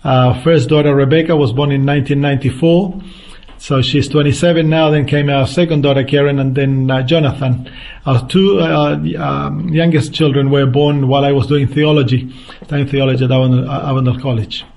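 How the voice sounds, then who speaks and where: A man's voice: mid-range voice, steady tone, fairly quick, darkish tone. A man in his fifties with a Chilean Spanish accent, a guest evangelist answering interview questions earnestly and unhurriedly, with frequent restarts. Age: 50s.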